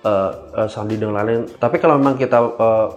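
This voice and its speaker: A man, answering casually as a podcast guest, hesitant and full of filled pauses. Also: on the low side, fairly even intonation, lo-fi sound, faint music behind. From Indonesia. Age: 20s. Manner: hesitant, halting delivery with frequent filled pauses. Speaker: guest cyber security engineer.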